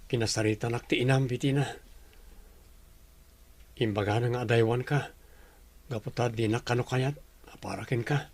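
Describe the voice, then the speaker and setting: A man's voice: mid-range voice, steady tone, normal speed, long pauses, a little mellow, close to an everyday voice. A man playing a humble, self-doubting young boyfriend in a radio drama, polite and earnest. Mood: humble, downcast. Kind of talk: Ilocano radio drama acting.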